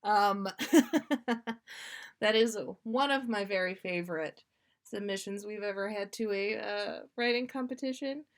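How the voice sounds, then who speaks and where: Slow-paced woman hosting a casual livestream. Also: mid-range voice. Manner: giggly, self-deprecating. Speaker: podcast host. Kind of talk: casual livestream chat between story readings.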